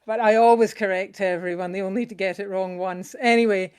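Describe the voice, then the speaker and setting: A Scottish woman introducing a song at an online song swap, speaking in a chatty, halting way full of restarts. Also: mid-range voice, fairly even intonation, darkish tone, smooth. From Scotland. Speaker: singer sharing a song. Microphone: video call.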